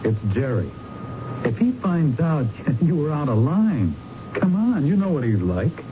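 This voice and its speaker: A muffled old radio drama with heavy background noise. a young, crooked investigator with a mid-pitched voice, blunt and slangy, cynical and impatient. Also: male voice, big pitch swings, a little slow. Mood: exasperated, scolding.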